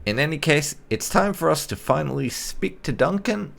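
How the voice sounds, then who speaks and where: A man's voice: expressive, normal speed, gravelly, a bit bright. A man hosting a let's play, recapping the story and chatting in a wry, casual way. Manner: wry, swings between high and low tones. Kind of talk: casual game recap and commentary.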